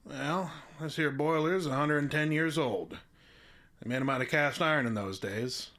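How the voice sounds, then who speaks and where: A man's voice: on the high side, moderate pace, fairly bright tone, lightly acted. A man acting in an audio drama as a folksy, plain-spoken small-town contractor. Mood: folksy, apologetic. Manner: folksy, easygoing, colloquial.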